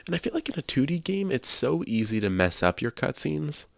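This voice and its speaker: A man's voice: medium pitch, sing-song, a little fast, creaky, no room sound, clean studio sound. A man hosting a podcast, sharing his opinions in casual discussion. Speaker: podcast host.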